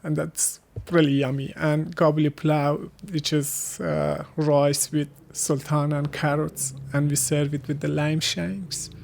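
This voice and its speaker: A man from Afghanistan with an Afghan accent and Hazaragi as his first language, answering casually in halting, searching English. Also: fairly high pitch, little pitch movement, creaky, darkish tone. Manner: halting, searching for words in non-native English. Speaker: interviewee. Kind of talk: casual interview conversation.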